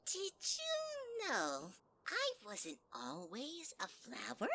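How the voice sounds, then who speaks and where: A woman's voice: huge pitch swings, very slow, vocal fry, bright, forceful tone, slight background noise, full character voice. A woman voicing a sweet-talking, sinister male flower in a fan audio drama, syrupy and teasing. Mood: sugary. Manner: syrupy, teasing, swings high and low.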